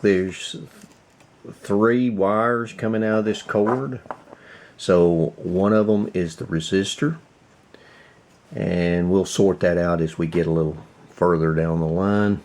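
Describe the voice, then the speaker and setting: A man with a fairly low voice and a heavy creak talks at a very unhurried pace. He hosts a casual hands-on demonstration, feeling his way through it in short, halting phrases as he thinks aloud. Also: a little mellow. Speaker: host of a radio repair video.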